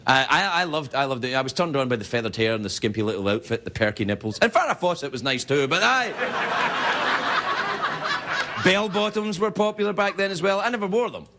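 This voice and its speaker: A man's voice: fairly high pitch, lively intonation, fast, few pauses, very noisy. A middle-aged Scottish man hosting a late-night show, delivering a rambling comic monologue and chuckling through his jokes. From Scotland. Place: TV studio with a live audience. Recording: loud studio audience laughter.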